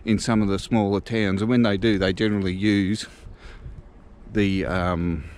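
A man giving a relaxed, casual walking tour outdoors, thinking aloud as he goes. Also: lowish voice, moderate pace, clear, resonant tone. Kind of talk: casual walk-and-talk narration. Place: outdoors walking through a small country town.

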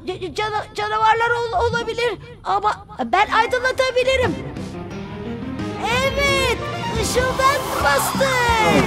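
A woman voicing a cheerful, excitable young bus in a dubbed cartoon. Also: very high-pitched, measured pace. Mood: excited. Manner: bubbly, excitable, giggly. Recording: loud music under the voice.

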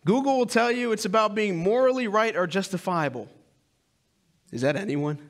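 Animated man preaching from a stage. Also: high voice, normal speed. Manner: animated, swinging between loud emphasis and hushed asides. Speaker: pastor. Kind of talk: sermon. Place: large church stage. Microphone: headset mic.

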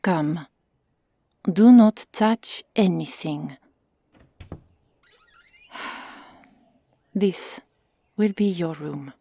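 A woman playing a stoic, stern Amazon warrior in an ASMR roleplay, hushed and curt, often whispering. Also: fairly low pitch, extremely slow, creaky, pauses often, husky, dry recording, full character voice. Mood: stern, guarded. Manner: curt, formal, restrained.

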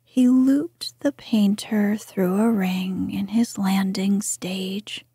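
A woman reading a storybook aloud in a soft, gentle whisper. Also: medium pitch, fairly even intonation, takes it slowly, husky, dry recording, studio quality.

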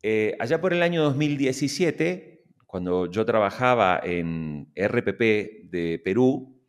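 A man's voice: fairly low pitch, expressive, slow. A middle-aged man with a Latin American Spanish accent hosting an interview, building long, emphatic questions into a close microphone. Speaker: podcast host, interviewer. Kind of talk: conversational interviewing. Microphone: large mic close in front.

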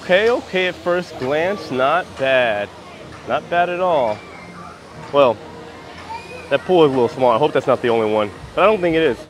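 An American man in his thirties with a slightly high voice talks casually as a travel vlogger. He gives a running tour commentary as he walks around, holding the camera at arm's length. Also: animated, normal speed, long pauses. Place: walking around a cruise ship, decks and indoor areas. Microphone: handheld camera at arm's length.

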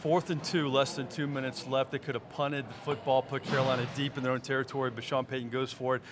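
A middle-aged man hosting a sports show, asking brisk questions into a handheld mic on a studio set. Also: mid-range voice, flat delivery, background noise. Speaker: sports TV host. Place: studio set with team backdrop, indoors. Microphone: handheld microphone.

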